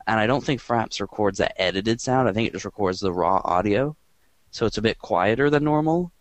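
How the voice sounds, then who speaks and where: Low, monotone man with a dry delivery. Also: a little fast, dry recording. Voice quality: monotone, quiet voice. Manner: rambling and dry, filler-heavy. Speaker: podcast host. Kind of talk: casual chat.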